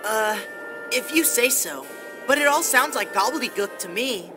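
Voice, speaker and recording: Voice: mid-range voice, lively intonation, normal speed, over music, natural voice. An actor playing a brash, puzzled teenage boy knight in a video game, blunt and slangy. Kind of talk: video game cutscene acting.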